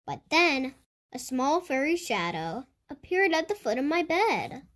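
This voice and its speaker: A woman reading a picture book aloud to children in an animated storytelling style. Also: high voice, unhurried. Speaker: children's storybook reader and host. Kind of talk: animated read-aloud narration for kids.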